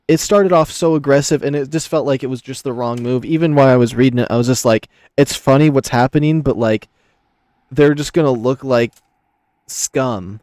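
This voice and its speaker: A man's voice: medium pitch, a little mellow, no room sound, studio quality. A man narrating a visual novel and commenting on it casually as a gaming video host.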